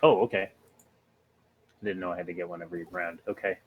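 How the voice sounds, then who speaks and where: A man's voice: low voice, fairly even intonation, a little fast, narrow, tinny audio, a little hiss or hum. A man talking casually as a player in a tabletop role-playing game. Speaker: player, sensor operator.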